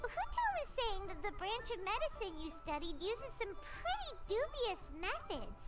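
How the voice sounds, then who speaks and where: A small fairy sidekick from a video game, childlike and inquisitive. The voice is extremely high and very animated, with music playing behind. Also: female voice, normal speed. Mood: suspicious, concerned. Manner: refers to self in third person. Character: tiny, childlike floating fairy companion, naive and curious. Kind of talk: scripted video game voice acting.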